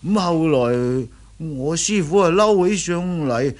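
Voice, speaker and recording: Radio drama: servile braggart disciple, raised pitch, drawn-out pace, stammering, cowardly. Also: male voice, animated, a little mellow. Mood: boastful. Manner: stammering, fawning, exclaiming. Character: servile, boastful, cowardly young Shaolin disciple man. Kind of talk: Cantonese radio drama acting.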